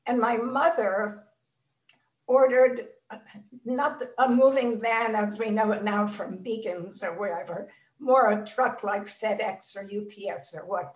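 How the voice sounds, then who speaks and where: An older woman from Czechoslovakia, a Holocaust survivor, telling her life story in measured, reflective speech with pauses, on a video call. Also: on the high side. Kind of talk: personal testimony in an interview. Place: home room. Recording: echoey video call audio from a distance.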